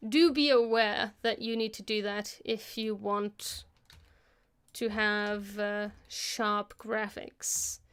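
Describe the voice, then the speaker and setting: A woman hosting a live stream, casually talking through a software tutorial as she works, thinking aloud into a close microphone. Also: mid-range voice, level tone, slow pace, clean tone. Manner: casual, chatty. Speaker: host of an animation live stream.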